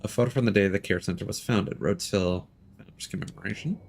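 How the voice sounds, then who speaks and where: A man's voice: fairly low pitch, fast talker, gravelly, slight background noise. A man giving casual, rambling live commentary as a game streamer, informal and prone to swearing. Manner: informal, rambling, swearing, muttered reactions to what is on screen.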